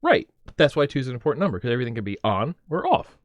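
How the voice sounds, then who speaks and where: A man hosting a casual livestream, talking and explaining things in a rambling, animated way. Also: mid-range voice, very fast, dry recording. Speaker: livestream host. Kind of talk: casual livestream chat with his mother.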